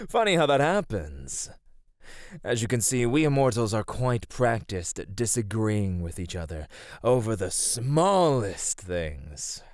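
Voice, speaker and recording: A man's voice: fairly low pitch, sing-song, slow pace, pauses often, dry recording, studio quality. A man voicing a flirty, vain male god of divine radiance in an ASMR roleplay, speaking in a teasing, affectionate way. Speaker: voice actor.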